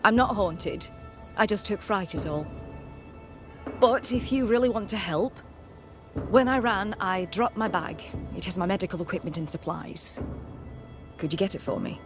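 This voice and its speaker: A woman's voice: medium pitch, normal speed, pauses often, music in the background, natural voice. A woman playing a jittery, apologetic village physician of colonial times, candid and formal in her phrasing. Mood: nervous, polite. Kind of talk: dramatic game dialogue.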